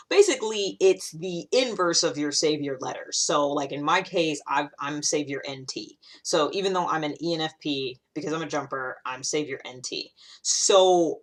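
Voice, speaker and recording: Low-voiced woman chatting casually. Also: fairly bright tone. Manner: informal, thinking aloud, frequent rhetorical check-ins. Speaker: YouTube vlogger. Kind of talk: casual chatty solo explainer.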